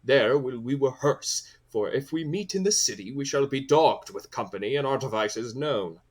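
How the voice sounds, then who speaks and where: A man's voice: medium pitch, animated, normal speed, darkish tone, slightly rough. A man playing a fussy, coaxing workman who directs amateur players, earnest and persuasive, in a comic Shakespearean audio play.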